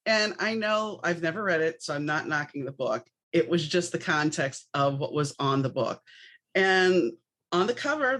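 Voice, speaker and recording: A woman's voice: on the low side, fairly bright tone. A woman podcast host talking in a conversational, chatty, anecdotal way.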